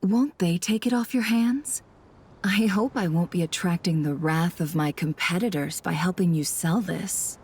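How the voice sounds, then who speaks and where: Composed, low-voiced shrewd traveler. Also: female voice, measured pace, darkish tone, slightly rough. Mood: shrewd, businesslike. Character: shrewd woman traveler posing as antiques smuggler. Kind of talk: video game voice acting. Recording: music under the voice.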